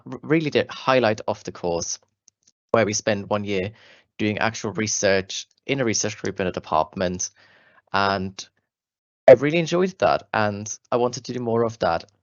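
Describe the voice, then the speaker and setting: A man's voice: fairly low pitch, dry recording, highs cut off. A young man in his twenties with an Austrian German accent, giving an earnest, friendly talk to school students about his path as a doctoral student. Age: 20s. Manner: earnest, friendly, with fillers and restarts.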